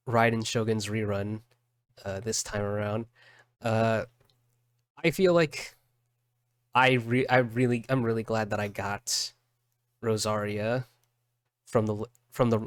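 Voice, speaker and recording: Slow-talking man reacting on stream. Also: mid-range voice, clean tone, dry recording, clean studio sound. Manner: halting, repeats phrases. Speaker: streamer reacting to game trailers. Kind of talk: casual reaction commentary. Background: game trailer music in the background.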